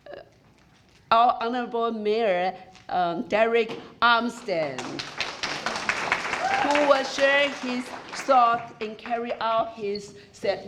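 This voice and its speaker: A woman emceeing a formal ceremony in English with a Chinese accent; Chinese is her first language. She speaks at a slow, unhurried pace with a mid-range voice, pausing and restarting as she builds each sentence. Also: medium pitch. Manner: slow, careful, halting phrasing. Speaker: master of ceremonies.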